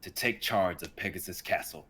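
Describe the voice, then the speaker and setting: A man's voice: sits low, moderate pace, pushed and bright, slight background noise. A young man giving a dramatic narration of an amateur script. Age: young adult. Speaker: narrator, stream performer.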